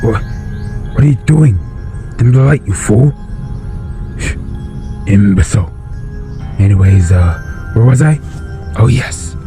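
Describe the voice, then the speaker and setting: Male actor as sinister radio horror host, low pitch, theatrical, haughty, absent-minded, music behind. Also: lively intonation, takes it slowly, pauses often. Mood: irritated, flustered. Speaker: introducer of a radio drama. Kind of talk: old-time radio horror introduction. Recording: music under the voice.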